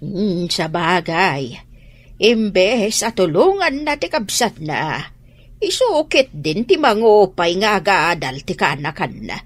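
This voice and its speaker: A woman's voice: medium pitch, big pitch swings, slow pace, no echo. A woman playing a fretful, concerned mother of grown children in a radio drama, with a northern Philippine accent. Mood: concerned, indignant.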